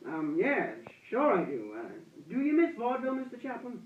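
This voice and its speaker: Man playing a high-pitched, gushing 1920s radio host. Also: wildly swooping pitch, fairly quick, narrow, tinny audio, exaggerated voice. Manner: gushing, folksy, chuckling. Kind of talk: amateur 1920s radio broadcast acting. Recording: roomy, distant-miked amateur recording, thin sound.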